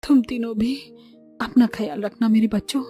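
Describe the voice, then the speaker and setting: A woman's voice: a little high, fairly even intonation, normal speed, warm tone, faint music behind, natural voice. A woman voicing a worried, caring but scolding village mother-in-law in a cartoon story, plaintive and admonishing. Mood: tearful, sad.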